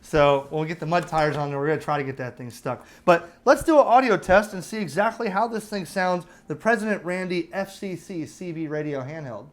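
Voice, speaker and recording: A man's voice: fairly high pitch, a little fast, few pauses. An American man giving a casual, chatty product review, explaining features step by step in an informal way. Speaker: host of a radio review channel.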